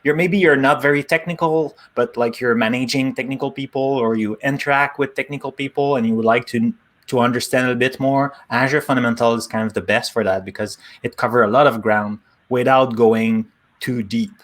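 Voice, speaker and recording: A man's voice: medium pitch, steady tone, a little fast, a bit bright. A man with a French accent, whose first language is French, chatting casually and explaining things on a tech stream, with small grammar slips. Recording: online stream.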